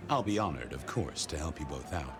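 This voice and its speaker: An actor playing a wry, world-weary vampire detective in a dry noir video game style. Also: male voice, very deep voice, expressive, fairly quick, music in the background. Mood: courteous, businesslike.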